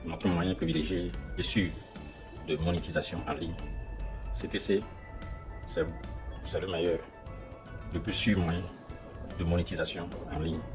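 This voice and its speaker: Man from Togo, very deep voice, slogan-style testimonial. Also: level tone, normal speed, pauses often, over music. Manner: promotional, slogan-like. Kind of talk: video testimonial.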